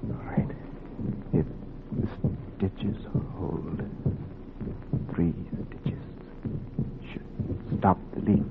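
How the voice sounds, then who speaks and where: An actor playing a steady, commanding surgeon in a 1940s radio drama, giving terse, measured orders. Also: male voice, sits low, gravelly, exaggerated voice. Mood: tense, concentrated. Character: commanding, steady male surgeon mid-operation. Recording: tinny, noisy 1940s radio recording.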